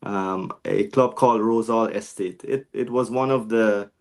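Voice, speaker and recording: A man's voice: on the low side, measured pace. A man in his forties from Guyana, talking reflectively as a podcast guest with frequent restarts. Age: 40s. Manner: reflective, many restarts and fillers. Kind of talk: casual interview chat.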